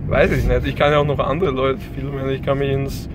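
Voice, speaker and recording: A man's voice: medium pitch, flat delivery, brisk. A young man with an Austrian accent, chatting casually in a moving car, rambling and joking with mock complaints. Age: young adult. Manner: self-mocking, joking complaints. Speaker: vlogger filming from the passenger seat. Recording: loud car and road noise. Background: engine and traffic noise.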